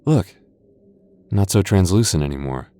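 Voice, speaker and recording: A man's voice: low voice, expressive, a little slow, mellow. A man voicing a playful, teasing young goth boyfriend in an ASMR roleplay, often stammering and chuckling. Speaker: voice actor.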